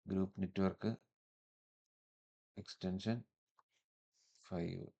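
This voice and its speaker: A man with a Malayali accent presenting a step-by-step software tutorial in an instructional manner, mixing in English technical terms. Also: sits low, flat delivery, takes it slowly, vocal fry, muffled recording.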